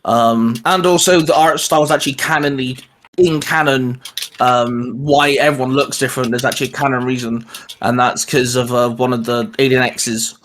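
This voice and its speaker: A man's voice: medium pitch, steady tone, moderate pace, a bit bright, slight background noise. A man talking enthusiastically and in a rambling way, as a co-host in a casual, geeky fan chat. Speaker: co-host of a fan discussion show.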